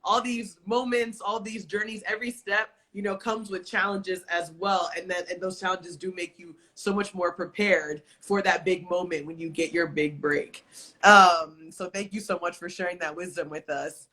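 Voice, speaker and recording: A woman's voice: on the low side, steady tone, moderate pace, bright, forceful tone. A woman hosting an online interview show, gushing and upbeat, with lots of "like" in her talk. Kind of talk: enthusiastic casual interview hosting.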